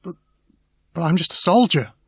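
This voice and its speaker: A man's voice: on the high side, expressive, moderate pace, slightly rough, no echo, studio quality. A man playing a humble soldier turned leader in an audio drama, solemn and oratorical. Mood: hesitant, humble. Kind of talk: fan audio drama acting, speechmaking.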